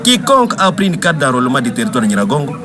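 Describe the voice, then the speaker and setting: A male opposition spokesman gives a formal interview answer, listing points with hesitant restarts. His voice rises and falls widely. Traffic-like noise is clearly heard behind him. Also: medium pitch, sing-song, very fast. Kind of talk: interview soundbite.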